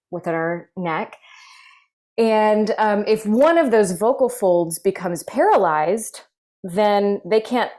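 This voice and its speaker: Measured woman explaining vocal problems. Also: medium pitch, warm tone. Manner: measured, explanatory, with many fillers. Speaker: voice clinical specialist. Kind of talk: interview answers.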